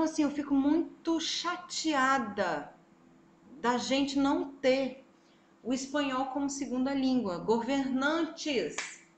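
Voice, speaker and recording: A Brazilian woman with a Brazilian Portuguese accent vlogs about travel in a lively, expressive way, speaking directly to her viewers. Her voice sits a little high. The room is echoey, with a faint background hum. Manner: animated, expressive, talks straight to viewers. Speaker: travel vlogger. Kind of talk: casual vlog storytelling.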